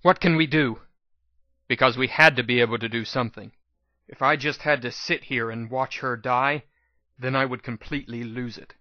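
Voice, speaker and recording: A man's voice: medium pitch, steady tone, normal speed, bright, forceful tone, no room sound, dull audio. A man reading a scripted first-person story aloud as a dramatic audiobook narration. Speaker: audiobook reader.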